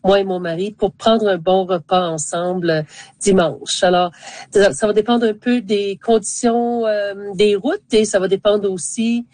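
A woman's voice: mid-range voice, steady tone, unhurried, darkish tone, dry recording. An older woman, giving hesitant conversational answers as a panel guest.

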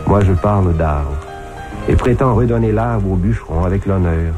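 A man's voice: deep voice, normal speed, over music. A man reading poetic prose in a solemn, declamatory way, from an archive recording.

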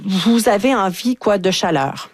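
A woman hosting an interview, asking questions and adding her own thoughts in a measured way. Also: medium pitch, lively intonation, slightly rough, no echo, clean studio sound. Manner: measured, asks questions and adds comments. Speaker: host.

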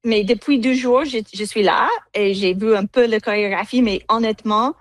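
English-accented woman, high, hesitant French. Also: moderate pace, no echo. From United States. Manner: hesitant, many fillers, slips into English words. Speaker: cellist, guest. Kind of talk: interview answers.

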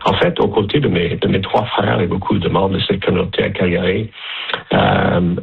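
Man, canadian french accent, very low voice, raspy, phone-line radio sound, faint music behind. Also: moderate pace. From Alberta, Canada. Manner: hesitant, many fillers and restarts. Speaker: interview guest, director general of a francophone federation. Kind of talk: radio interview answers.

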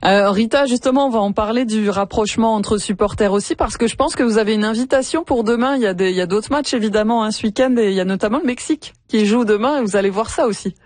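A man's voice: sits high, quick pace, hardly any pauses, dry recording. A man hosting an interview, asking long questions with many fillers. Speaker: host. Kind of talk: radio interview.

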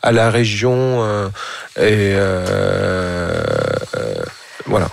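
Young male photojournalist guest, Syrian Arabic accent, slow and hesitant, with a gravelly creak. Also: low voice, dry recording. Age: late 20s. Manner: halting, searching for words in learned French. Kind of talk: reflective interview answers.

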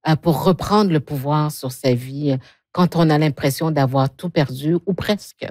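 A woman interviewing a guest, asking gentle questions and affirming her answers. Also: low-pitched, normal speed, no room sound, clean studio sound.

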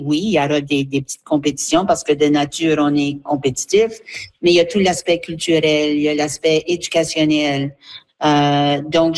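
A woman's voice: lowish voice, darkish tone. A woman, explaining earnestly as an association representative in a spontaneous interview.

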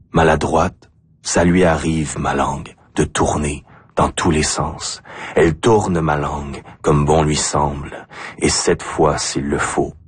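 Deep, husky Acadian man reciting poetry. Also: dry recording. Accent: Acadian French. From Acadia, New Brunswick, Canada. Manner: deliberate, short phrases with pauses. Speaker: poet. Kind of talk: spoken-word poetry recital.

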